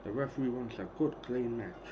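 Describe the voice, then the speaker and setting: A hoarse man in his thirties casually calling the action of a wrestling game he is streaming, rambling and clearing his throat often. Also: medium pitch, brisk. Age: 30s. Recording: dull lo-fi stream audio with clear noise.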